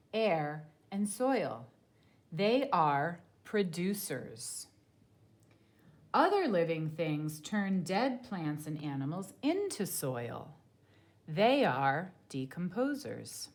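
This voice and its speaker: Very slow-paced woman reading aloud. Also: lowish voice, darkish tone. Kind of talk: children's nonfiction read-aloud.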